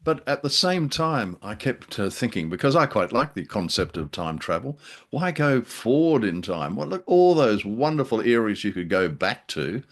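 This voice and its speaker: Low-pitched man chatting casually. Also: very animated, normal speed. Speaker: podcast co-host. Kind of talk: casual chat about old radio drama.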